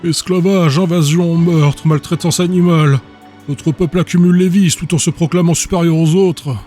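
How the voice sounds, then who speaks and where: A man's voice: a little high, steady tone, normal speed, dark tone, faint music behind, pitched above the performer's own voice, lightly acted. A man voicing a bitter, disillusioned young man condemning his own people in an audiobook. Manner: grim, listing accusations.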